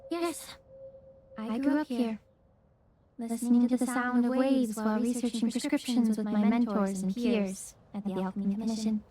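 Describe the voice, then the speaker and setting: An actress playing a poised, courteous, reflective young woman physician in a video game, speaking in a measured, formal way. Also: fairly high pitch, steady tone, mellow, close to an everyday voice. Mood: nostalgic. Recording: game audio with music behind.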